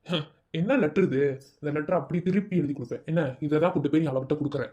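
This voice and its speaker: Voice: medium pitch, very fast, a little mellow, lo-fi sound, lightly acted. A performer voicing a jealous, vengeful male college bully who keeps barking questions to browbeat a rival in a comedy sketch. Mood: menacing. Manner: bossy, drilling questions with "enna?". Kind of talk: comic sketch acting.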